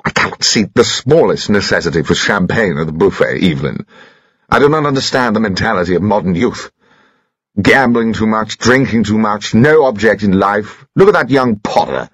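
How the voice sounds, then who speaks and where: A man's voice: lowish voice, lively intonation, moderate pace, fairly bright tone, husky, no echo, pitched above the performer's own voice. A man voicing a pompous middle-aged baronet and former soldier, stiff and disapproving. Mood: stuffy.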